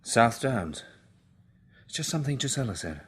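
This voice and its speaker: An actor playing a brooding, plain-spoken male survivor in a radio drama, with a slightly husky voice. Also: medium pitch, sing-song, moderate pace. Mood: pensive.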